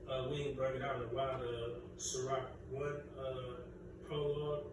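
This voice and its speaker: A man reads a passage aloud in a small class and explains it earnestly. He is far from the mic in a very echoey room. Clear ambient noise is heard behind him. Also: medium pitch, flat delivery, moderate pace. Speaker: class participant. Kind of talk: casual group discussion, reading a passage aloud.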